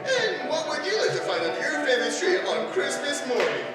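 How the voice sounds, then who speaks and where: A man's voice: extremely high pitch, wildly swooping pitch, a little fast, exaggerated voice. An actor playing a jolly department-store Santa Claus in a 1940s-style radio drama, with hearty, chuckling salesman patter. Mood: jovial. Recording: echoey stage from far off with music.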